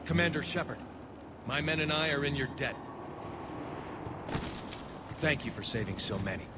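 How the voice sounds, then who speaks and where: A man's voice: fairly high pitch, lively intonation, normal speed, close to an everyday voice. A man playing a burdened, dutiful young alien military officer in a sci-fi game, speaking earnestly and formally. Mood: grateful. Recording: loud vehicle and battlefield noise behind.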